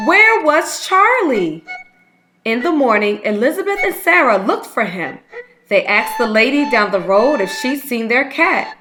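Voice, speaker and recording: A woman reading a children's story aloud as a read-aloud host, with expressive, unhurried storytelling. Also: high voice. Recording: music playing behind the voice. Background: instrumental music.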